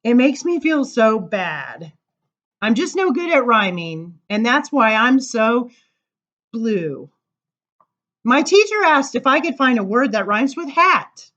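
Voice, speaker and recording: A woman reading children's poems aloud at story time, informal, with dramatic emphasis and drawn-out words. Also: fairly high pitch, big pitch swings, a bit bright, dull audio. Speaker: library story time reader.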